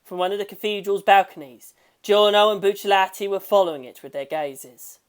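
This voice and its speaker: A woman narrating a dramatic prose story, swelling emphatically at times and drawing phrase endings out into a hush. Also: mid-range voice, a little fast, clear, resonant tone. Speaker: audiobook-style reader of a fan story.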